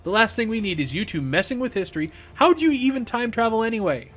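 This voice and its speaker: Story reading: male narrator as exasperated young techie, pitched up, emphatic, sarcastic. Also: sing-song, quick pace, a bit bright, faint music behind, full character voice. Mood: indignant. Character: excitable, indignant young man, reluctant tech whiz.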